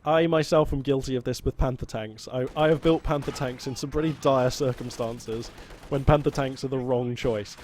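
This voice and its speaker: A man's voice: mid-range voice, fairly even intonation, normal speed, hardly any pauses. A British man giving rambling, jokey live commentary on a strategy game. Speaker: game caster. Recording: loud game battle sounds behind. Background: gunfire, artillery and vehicle noise from the game.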